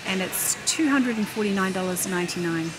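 A woman's voice: lively intonation, fairly quick. A middle-aged woman chats casually, thinking aloud as she narrates her shopping. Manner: chatty, thinking aloud while browsing. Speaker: shopping vlogger. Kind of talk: casual chatty shop-along narration. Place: large indoor warehouse store. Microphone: handheld camera, operator behind it. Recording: noisy warehouse store background hum. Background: loud store ambience and rumble.

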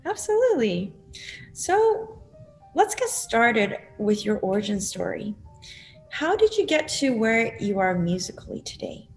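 A young woman opens the show with a scripted introduction, and her pitch rises and falls in a lively way. She speaks as a welcoming host over a video call from a room at home. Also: a little slow, soft background music.

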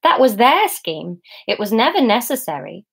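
A woman's voice: a little high, very animated, moderate pace, gravelly, breathy edge, voice pushed up, heavily acted. Woman reading as a smug, serene, all-powerful God. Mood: unbothered. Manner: mild, unbothered, simply spoken.

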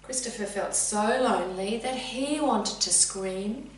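A middle-aged woman reading a children's story expressively and deliberately, addressing young listeners directly. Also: medium pitch. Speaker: teacher reading a picture book. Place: indoors, sitting room with bookcase. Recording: very echoey room, distant mic, faint hum.

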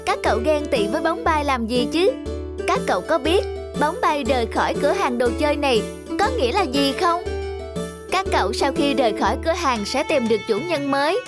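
A woman's voice: high-pitched, expressive, fairly quick, over loud music. A woman voicing a chatty, gossipy little shop toy in a cartoon, knowing and pitying. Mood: curious, pitying. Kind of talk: cartoon dialogue.

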